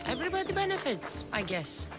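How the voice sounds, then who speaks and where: A woman's voice: high voice, very animated, fairly quick. A woman playing a tough, self-assured young mercenary in a video game, speaking dryly with a Greek accent. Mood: wry, confident. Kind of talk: scripted video game dialogue. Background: background music.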